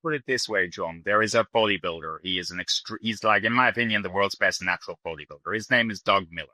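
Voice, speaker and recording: Bright-voiced man with mixed Irish-influenced accent. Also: fairly low pitch, fast talker, no echo. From Ireland. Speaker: podcast guest, bodybuilding coach. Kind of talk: conversational interview explanation.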